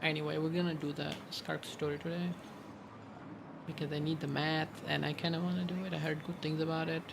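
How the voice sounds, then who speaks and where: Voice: mid-range voice, little pitch movement, a little fast, vocal fry, background noise. A streamer giving casual gameplay commentary, rambling and thinking aloud. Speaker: video game streamer. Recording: game music behind voice.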